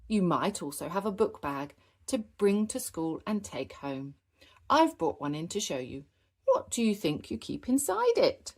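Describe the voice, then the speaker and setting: A woman's voice: mid-range voice, sing-song, a little slow. A woman voicing a kindly gazelle schoolteacher in a children's story, explaining patiently and encouragingly. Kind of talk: children's storybook character read aloud.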